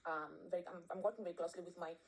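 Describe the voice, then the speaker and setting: Greek-accented woman, polite voice memo, halting with fillers. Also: fairly low pitch, fairly even intonation. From Greece. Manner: hesitant, polite. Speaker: caller sending a voice memo.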